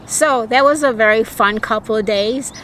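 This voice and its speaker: A woman's voice: a little high, normal speed, fairly bright tone, a little hiss or hum, poor audio. An older woman who makes RV travel videos, talking casually to the camera outdoors. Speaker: RV travel vlogger. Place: outdoors at a campground.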